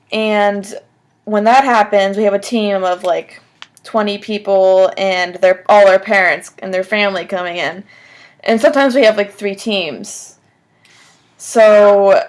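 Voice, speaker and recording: A woman in her twenties answering job interview questions on the phone in a casual, conversational way, often saying "like," recorded at a desk in a small room. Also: mid-range voice. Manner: casual, frequent "like" as filler. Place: small room at home, indoors.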